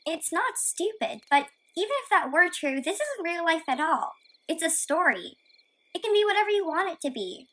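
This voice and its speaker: A woman plays a plucky radio-play heroine, a girl with a very high, bright voice. She talks in a chatty, gently corrective way and stays optimistic. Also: very animated, moderate pace, full character voice. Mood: encouraging. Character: plucky, optimistic girl heroine who contradicts her narrator.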